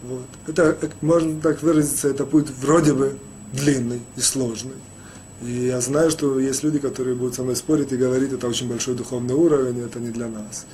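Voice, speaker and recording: A man teaching a Torah lesson as a rabbi, talking haltingly with many restarts and fillers. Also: mid-range voice, steady tone, dark tone, a little hiss or hum. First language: Russian, rarely used in daily life. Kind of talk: spoken Torah lecture.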